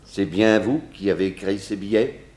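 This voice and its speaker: An actor playing a blunt, accusing small-town sheriff, insistently interrogating in 1960s radio drama style. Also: male voice, fairly low pitch, normal speed, darkish tone, echoey room, close to an everyday voice. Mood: suspicious.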